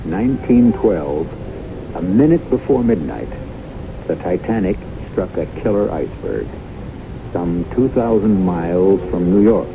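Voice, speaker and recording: A man hosting and narrating a radio drama in a measured, theatrical style. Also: sits low, lively intonation, gravelly. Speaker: host and narrator. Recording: muffled, noisy old radio broadcast.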